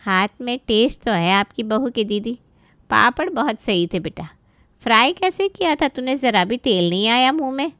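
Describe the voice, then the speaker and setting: A woman's voice: fairly high pitch, lively intonation, normal speed, fairly bright tone, smooth, dry recording. A woman playing a haughty, nitpicking middle-aged aunt, a boastful guest in a family story. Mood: approving.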